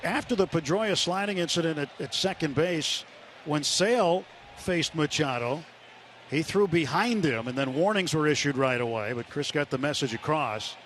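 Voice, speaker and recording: American man, radio baseball play-by-play, voice on the higher side, loud stadium noise behind. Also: expressive, normal speed. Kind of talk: live sports commentary. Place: stadium broadcast booth. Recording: noisy ballpark radio broadcast.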